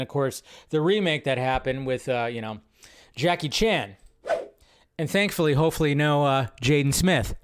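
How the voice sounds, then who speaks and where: A man's voice: fairly high pitch, smooth. A man hosting a casual movie news show, rambling and laughing as he talks. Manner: rambling, laughs often, trails off. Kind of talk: casual solo commentary.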